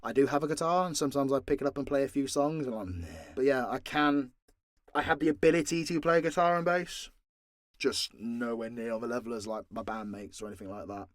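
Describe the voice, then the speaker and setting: British male, medium pitch, informal and sweary, voice actor doing an unscripted fan Q&A, no room sound. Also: fairly even intonation, a little fast, no echo. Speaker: voice actor and channel creator answering fan questions. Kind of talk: casual unscripted Q&A chat.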